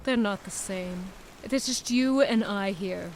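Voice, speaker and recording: An actress playing a cold, imperious warrior goddess in an audio drama, with terse, blunt lines. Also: female voice, mid-range voice, unhurried, close to an everyday voice. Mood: cold, probing. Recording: faint noise under rain and thunder. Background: rain and thunder ambience.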